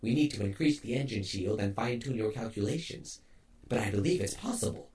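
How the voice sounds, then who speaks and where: A man playing an earnest, measured engineering robot in a sci-fi audio drama. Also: fairly low pitch, lively intonation, distant mic. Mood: dry, friendly. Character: steady, earnest male engine-room robot, senior partner. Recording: off-mic, loud music behind.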